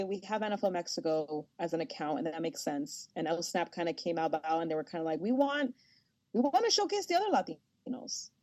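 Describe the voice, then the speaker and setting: Lively woman with a lowish voice. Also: no room sound. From United States, Salvadoran family. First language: Spanish. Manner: animated storyteller, lots of fillers and laughs. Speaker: guest, sports journalist and host. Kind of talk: casual interview talk.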